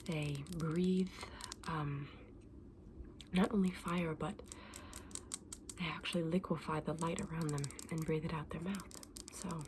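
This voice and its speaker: A woman's voice: deep voice, fairly even intonation, a little slow. Whispering woman in ASMR roleplay, noisy background. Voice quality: breathy whisper. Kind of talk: whispered ASMR roleplay as a dragon egg saleswoman.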